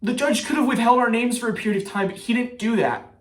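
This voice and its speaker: A man performing a stage monologue as a shaken, bitter trial juror, speaking haltingly like someone giving testimony. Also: very high-pitched. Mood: bitter, agitated. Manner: halting, with pauses and repeated phrases. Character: shaken male trial juror, hounded, guilt-ridden and bitter. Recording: roomy echo, distant mic.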